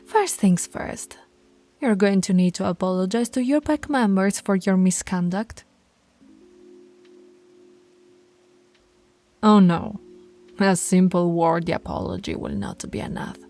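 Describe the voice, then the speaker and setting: A woman's voice: mid-range voice, sing-song, moderate pace, mellow. A woman voicing a dominant female werewolf pack alpha in an audio roleplay, teasing and commanding, often dropping to a whisper. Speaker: voice actress.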